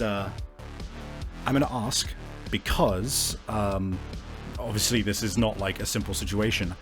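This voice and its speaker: Male game master, descriptive storytelling, improvised narration, middling pitch, music behind the voice. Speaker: game master and podcast host. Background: background music.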